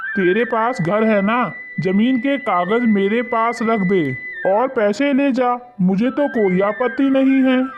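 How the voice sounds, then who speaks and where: Man acting a slow-talking, condescending village moneylender. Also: very high voice. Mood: firm, calculating. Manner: condescending, wary. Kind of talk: Hindi animated moral story dialogue. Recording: muffled lo-fi audio under loud music.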